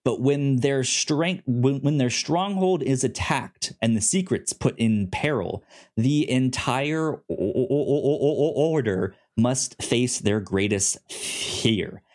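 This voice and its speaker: A man with a mid-pitched voice chats as a podcast co-host. He rambles informally and haltingly, often stuttering over words and repeating syllables. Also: expressive, darkish tone. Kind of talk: casual review show chat.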